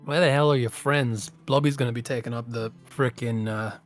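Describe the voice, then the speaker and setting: A man's voice: medium pitch, steady tone, normal speed, soft background music, close to an everyday voice. A man voicing an easygoing young townsman. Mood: joking. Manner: easygoing, rambling with many uh fillers. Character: friendly young man raising his little brother. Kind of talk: in-character tabletop roleplay.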